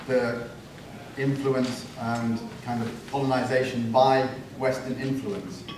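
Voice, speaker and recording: Relaxed man speaking in an echoey hall. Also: medium pitch, noisy background. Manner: self-deprecating, relaxed, with light humor. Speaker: university panelist. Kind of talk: conference talk. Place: large conference room. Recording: echoey hall, distant mic.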